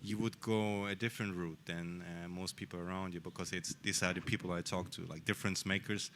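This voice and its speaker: A man's voice: deep voice, fairly even intonation, fairly quick, fairly bright tone, a little hiss or hum. A man hosting a podcast, asking curious, long winding questions. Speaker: podcast host. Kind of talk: interview questions.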